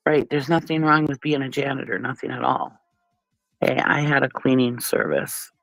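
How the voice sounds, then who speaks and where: A woman's voice: very deep voice, fairly even intonation, moderate pace, gravelly, fairly bright tone, no echo, soft background music, rough recording. A woman with a stuffy nose from a cold, hosting a casual livestream and chatting bluntly with viewers, often laughing and swearing. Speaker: livestream commentary host.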